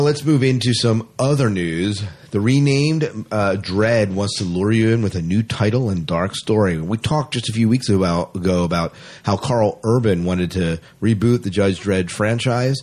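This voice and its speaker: Deep husky guy on a podcast, reads news then chats, pretty into it. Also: a little mellow. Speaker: podcast co-host reading entertainment news. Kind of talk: casual podcast chat mixed with read-out news.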